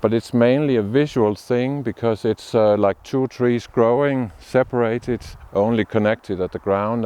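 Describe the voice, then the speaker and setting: A man from Denmark, a sculptor, speaking in a documentary interview with thoughtful, unhurried restarts. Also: lowish voice, darkish tone, smooth. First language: Danish.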